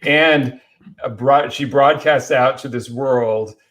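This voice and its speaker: A man's voice: mid-range voice, slow pace, a bit bright. A man co-hosting a casual film talk among friends, speaking in a chatty, enthusiastic way. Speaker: podcast co-host.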